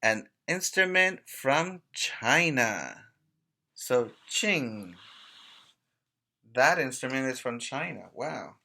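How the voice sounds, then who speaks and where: A man teaching preschoolers by reading aloud in a deliberate, emphatic way, pausing to put questions to his young listeners. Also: mid-range voice, expressive, gravelly, sharp tone. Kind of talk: read-aloud lesson for young children.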